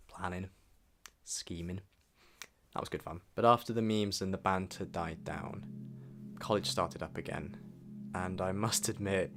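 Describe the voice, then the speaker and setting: Young guy doing scripted vlog voiceover, really low voice, bit of music behind. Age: young adult. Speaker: vlogger narrating own year in review. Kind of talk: scripted voice-over narration. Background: background music.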